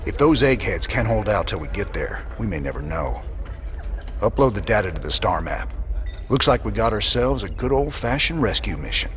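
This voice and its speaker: An actor playing a gruff, weary, middle-aged rebel commander in a video game, with a blunt, folksy drawl. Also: male voice, fairly low pitch, very animated, moderate pace, a little hiss or hum. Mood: resolute.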